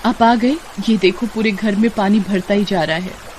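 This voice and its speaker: A woman's voice: medium pitch, steady tone, moderate pace, background noise, lightly acted. A woman voicing a poor, anxious pregnant village wife in pain, pleading in a moral-story cartoon. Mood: worried. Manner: pleading, worried.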